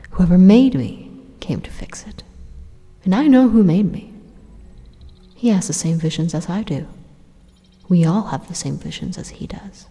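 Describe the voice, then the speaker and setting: Rogue AI for ASMR roleplay, female performer: hushed and breathy, very unhurried, calmly menacing and condescending, drenched in long reverb. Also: on the low side. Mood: quiet conviction. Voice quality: breathy, whispery. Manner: deliberate, pausing, condescending. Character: calm, menacing supercomputer robot turned evil, coldly persuasive.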